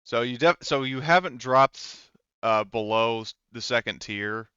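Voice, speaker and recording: A man narrating a tabletop roleplaying game as game master, explaining things informally and haltingly as he improvises. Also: mid-range voice, sharp tone, smooth, dry recording, highs cut off.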